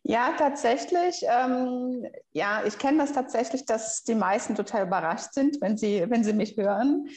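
A woman's voice: fairly high pitch, unhurried, a little mellow, reverberant. A woman from Brazil with a Brazilian Portuguese accent, a podcast guest patiently explaining pronunciation. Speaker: guest researcher. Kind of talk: podcast interview.

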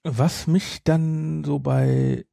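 A man's voice: a little high, very animated, very slow, creaky, warm tone, no echo. Dry-recorded man chatting as podcast host. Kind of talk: casual chat, retelling a story.

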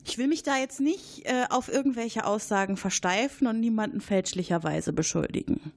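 A woman's voice: a little high, moderate pace. A woman playing a cautious, noncommittal military colonel answering questions in an improvised role-play. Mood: guarded. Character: guarded, evasive military colonel under questioning.

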